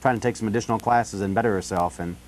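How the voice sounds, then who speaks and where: An American man in a casual phone conversation, talking in a halting, rambling way with frequent "you know". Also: lowish voice, soft background music. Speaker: city council candidate on a phone call. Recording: telephone call.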